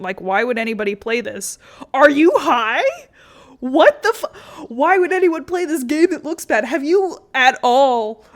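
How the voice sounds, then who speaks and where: A young adult woman giving casual, opinionated commentary as she streams a game, blunt, exasperated and quick to swear. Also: a little high. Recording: game music under the voice. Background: music.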